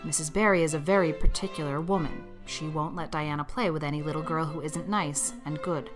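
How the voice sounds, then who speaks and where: A stern, no-nonsense guardian woman played by an actress speaks in a storybook dramatization. The voice is low and curt, matter-of-fact and reproving, over clearly audible music. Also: steady tone, fairly quick, lightly acted. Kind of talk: audiobook character acting.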